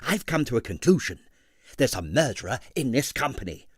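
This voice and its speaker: A man's voice: medium pitch, sing-song, brisk, slightly rough, dry recording, studio quality. A man voicing a self-important amateur sleuth in a storybook, earnest, boastful and confidential in manner. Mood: impressive, confiding.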